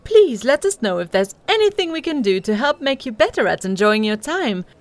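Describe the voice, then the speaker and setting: Hotel front desk, female: high, animated, scripted politeness, courteous but no help, for audio drama. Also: normal speed. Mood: syrupy polite. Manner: customer-service politeness.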